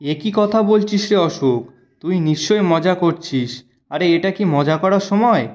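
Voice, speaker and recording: A man voicing an honest, gentle shopkeeper who pleads with his friend in a moral-story cartoon. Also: sits high, expressive, moderate pace. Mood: puzzled, worried. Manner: earnest, pleading, lamenting.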